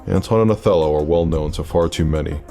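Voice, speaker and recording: Grave, very low-voiced immortal leader. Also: male voice, fast, warm tone, soft background music. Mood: urgent, solemn. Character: grave, secretive centuries-old immortal leader, commanding and urgent. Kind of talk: audio drama acting.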